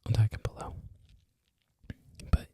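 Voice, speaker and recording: An ASMR creator whispering a loose, rambling talk, full of "like" and "um" fillers. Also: unhurried. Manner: soft whisper throughout, frequent "like" and "um" fillers.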